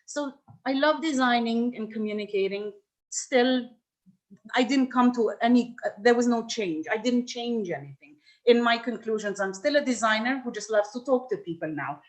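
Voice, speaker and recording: Reflective woman workshop speaker, fairly high. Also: normal speed. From Lebanon. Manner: reflective, hesitant, with frequent restarts and fillers. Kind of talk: personal talk to a workshop.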